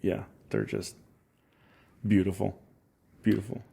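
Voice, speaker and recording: A man in his forties with a Scottish accent softened by years in Ohio, a musician chatting in an interview and telling rambling, enthusiastic stories with self-mocking laughs. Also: low-pitched, big pitch swings, slow pace, vocal fry, husky. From Scotland. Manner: rambling, enthusiastic storyteller who laughs at himself. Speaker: musician guest. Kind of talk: casual interview chat.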